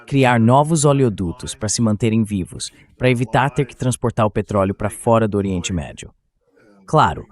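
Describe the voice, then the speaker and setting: A synthetic man's voice delivers translated political commentary as an interview guest. The pitch is ordinary for a male voice, and the delivery is that of a dubbed voice-over. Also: darkish tone.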